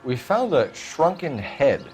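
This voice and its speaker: An actor playing an earnest male police detective who questions people in a firm, measured way. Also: mid-range voice, sing-song, a little mellow, faint music behind, low-quality recording. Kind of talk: video game voice acting.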